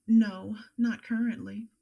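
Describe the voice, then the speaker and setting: A woman playing a guarded professional psychologist testifying in a courtroom drama, formal and measured. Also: fairly high pitch, creaky, dark tone, dull audio, exaggerated voice. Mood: guarded, uneasy. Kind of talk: audio drama acting.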